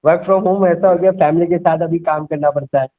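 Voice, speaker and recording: A man's voice: on the high side, steady tone, normal speed. A young man talking as a sales coach on a phone call, persuasive and conversational, repeating himself and mixing in English words. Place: at home. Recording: dull lo-fi call recording.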